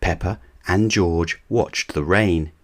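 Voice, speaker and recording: Deep, slow storybook-reading man. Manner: gentle and measured. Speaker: reader of children's books.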